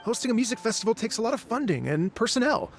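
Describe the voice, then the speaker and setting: An actor playing a polite, earnest and cautious musician in a video game, speaking in a formal, hesitant way. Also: male voice, extremely high pitch, very animated, fast, faint music behind, full character voice. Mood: apologetic, hesitant.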